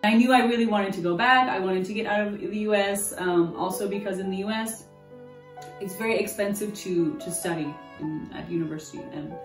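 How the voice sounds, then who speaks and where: A young American woman in her twenties telling her story in a candid, rambling interview. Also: mid-range voice. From United States. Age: 20s. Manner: candid, rambling, full of restarts. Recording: roomy distant mic with music. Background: guitar music.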